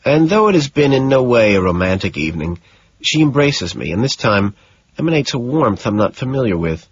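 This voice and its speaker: A man's voice: mid-range voice, big pitch swings, brisk, slight background noise. A man narrating a novel in the first person as a scripted audiobook. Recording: dull, echoey room sound.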